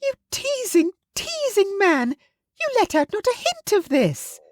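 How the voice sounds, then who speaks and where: A shrill, gushing middle-aged mother squeals her words at the very top of her range. The voice is thin and strained with excitement, set against a dry, close recording. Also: measured pace, no echo, full character voice. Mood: shrieking.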